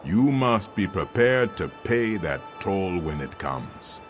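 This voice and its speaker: A man playing a wise, devout mentor in a fantasy game, speaking in an expressive, reverent and measured way. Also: sits low, faint music behind, heavily acted. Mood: earnest counsel. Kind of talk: scripted fantasy game acting.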